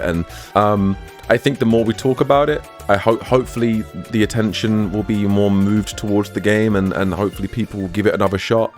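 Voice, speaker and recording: A man hosting a casual gaming podcast, chatty and quick to laugh, often repeating words as he starts a thought. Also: on the low side. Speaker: podcast host.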